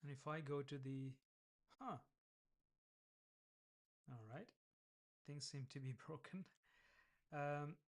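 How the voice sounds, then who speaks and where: A man with an Indian accent talking through his app on a casual coding vlog, hesitant and thinking aloud. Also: on the high side. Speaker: vlogger building an app.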